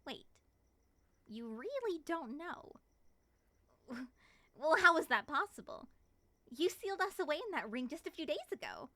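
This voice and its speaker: A woman voicing an excitable, suspicious moth monster woman with theatrical, expressive delivery in an ASMR roleplay. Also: high voice, unhurried, pauses often, no echo, full character voice. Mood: suspicious, agitated.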